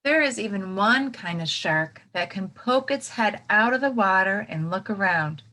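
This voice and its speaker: A woman's voice: mid-range voice, lively intonation, normal speed, bright, forceful tone, low-quality recording. A woman reading a children's book aloud as a teacher, expressively, with simple explanatory asides for young listeners.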